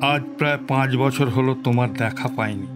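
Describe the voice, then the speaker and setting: A man playing a gentle, sorrowful older father reading his letter in an audio drama, measured and solemn with pauses between phrases. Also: medium pitch, close to an everyday voice. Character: sorrowful, gentle elderly father writing to son. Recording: studio voice under loud music.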